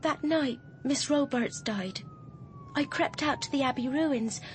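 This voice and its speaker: High-voiced, frightened young girl. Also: a little slow, slight background noise. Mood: urgent, confessing. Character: frightened, insistent young girl in hospital, confessing. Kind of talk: radio drama acting.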